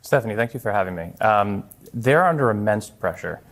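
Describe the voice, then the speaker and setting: A man's voice: lowish voice, lively intonation, a little fast, fairly bright tone. A man speaking as a guest in a television news interview, earnest and given to repeating his phrases. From Long Island, New York. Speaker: interview guest, congressional candidate and former air traffic controller.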